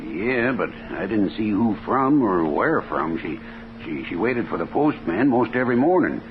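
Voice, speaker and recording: An actor playing a defensive, folksy American rooming-house landlord in 1950s radio drama, halting and repeating his words. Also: fairly low pitch, big pitch swings. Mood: hesitant, helpful. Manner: halting, stammering, colloquial. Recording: noisy, tinny 1950s radio recording.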